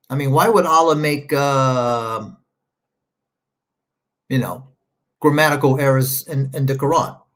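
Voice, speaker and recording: A man rambling through casual livestream commentary, sarcastic and scornful, stopping often to search his screen. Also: a little high. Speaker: online commentator.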